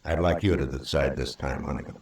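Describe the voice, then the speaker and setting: A low-voiced American lawman of the Old West. He is stern, weary and principled, weighing his words in firm, moral tones. The sound is roomy and set back from the mic, like a vintage radio western. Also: fairly quick, far from the mic. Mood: firm, grave.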